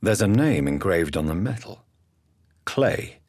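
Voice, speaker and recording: A man playing an earnest, stern gentleman doctor of early twentieth-century England, secretly a vampire, in a video game, with formal, measured, intense diction. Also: low voice, big pitch swings, no echo, clean studio sound, exaggerated voice. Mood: brooding, musing. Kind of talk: dramatic video game voice acting.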